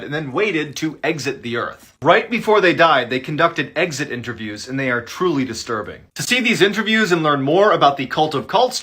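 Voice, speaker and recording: Male storyteller for dramatic short-form narration; bright, punchy tone with animated pitch. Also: breathy edge.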